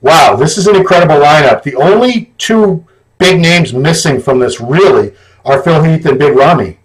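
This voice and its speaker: A man hosting a casual podcast, chatting in a relaxed, joking way. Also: on the high side, moderate pace, rough recording. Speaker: host.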